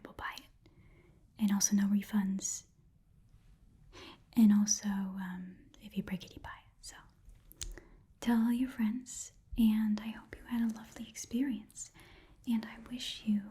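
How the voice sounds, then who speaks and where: A woman voicing a friendly, odd little goblin pawnshop keeper in a soft whispered ASMR roleplay, chatty and hesitant. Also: mid-range voice, lightly acted. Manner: hesitant, rambling, chatty, quirky politeness. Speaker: ASMR performer.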